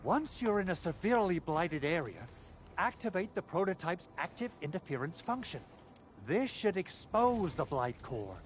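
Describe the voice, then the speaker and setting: An actor playing an eager, earnest male engineer in a video game, explaining things in an animated way, with music behind him. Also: high voice, moderate pace, slight background noise. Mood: instructive, earnest.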